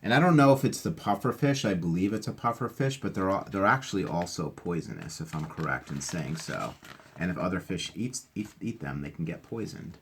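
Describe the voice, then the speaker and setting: A man with a slightly husky voice reading aloud to children and explaining things simply in a chatty, encouraging teacher's manner. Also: sits low, expressive, a little fast, a little hiss or hum. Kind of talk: animated read-aloud with casual explanations.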